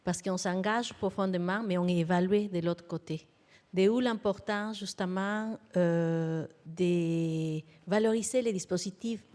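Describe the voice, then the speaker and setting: A woman's voice: mid-range voice, a little mellow. A woman speaks as an academic on a panel, with a mid-range voice. She talks earnestly and semi-improvised, circling back to key terms to stress them.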